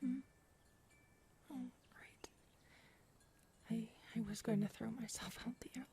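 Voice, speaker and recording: A woman acting in an ASMR audio roleplay as a gentle, shaken specialist who confides haltingly and softly. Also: fairly high pitch, fairly even intonation, slight background noise. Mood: confessional. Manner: soft-spoken, hesitant, halting.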